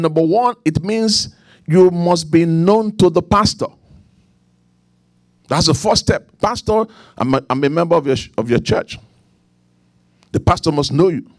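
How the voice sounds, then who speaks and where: A middle-aged man with a Nigerian accent preaching a sermon, repeating key lines, leaning into emphatic points and then dropping low for quiet asides. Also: no echo. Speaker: pastor.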